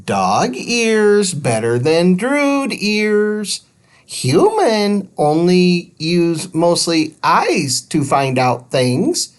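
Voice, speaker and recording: A man voicing a small squeaky helper robot in a storybook, talking in clipped, broken phrases. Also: very animated, very slow, exaggerated voice. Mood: matter-of-fact. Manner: clipped, simplified phrases without articles.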